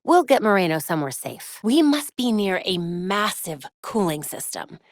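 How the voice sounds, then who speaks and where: A young female masked crimefighter for a video game. She is quick-witted and wry, with a determined edge under the quips. Her voice sits at a medium pitch, captured in a very dry studio sound. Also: sing-song, dry recording. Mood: focused, wry. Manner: wry, quippy.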